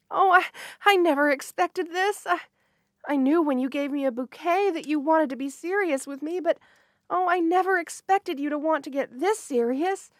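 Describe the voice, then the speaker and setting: A high, unhurried young woman's voice, acted by a woman for a romantic ASMR roleplay. She is sweet, shy and earnest, gently flustered and prone to hesitate mid-phrase. The recording is dry and intimate, with no room sound. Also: dry recording. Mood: moved, tender.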